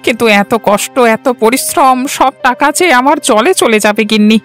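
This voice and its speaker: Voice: mid-range voice, moderate pace, fairly bright tone, faint music behind, close to an everyday voice. An actor playing a worried, careworn farmer husband who laments his lot in a children's cartoon. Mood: worried, despairing. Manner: downcast, lamenting. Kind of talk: Bengali children's cartoon voice acting.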